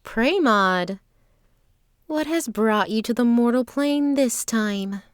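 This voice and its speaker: A self-assured wandering performer in a fantasy audio drama, acted by a woman. Her voice is a little high and very melodic, yet slow and composed, with formal phrasing. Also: animated, clear, resonant tone, dry recording, studio quality. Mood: composed, curious. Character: calm, self-assured traveling female performer with hidden divinity.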